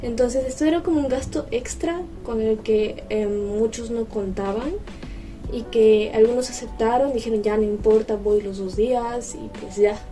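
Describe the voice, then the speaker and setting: A woman with a soft-edged, mellow voice talks casually as a vlogger. She explains things conversationally at a middling pitch. The mic sits some way off, and music plays behind her. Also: normal speed, distant mic. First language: Spanish. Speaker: vlogger, host. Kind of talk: casual chat to camera.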